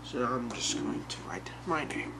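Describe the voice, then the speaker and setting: A young man playing an obsessive, calmly menacing boyfriend in a halting, soft, half-whispered ASMR role play. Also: medium pitch, natural voice. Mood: possessive, calm. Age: young adult. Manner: halting, soft-spoken, unhurried. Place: small bedroom, indoors. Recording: very noisy webcam-style home recording.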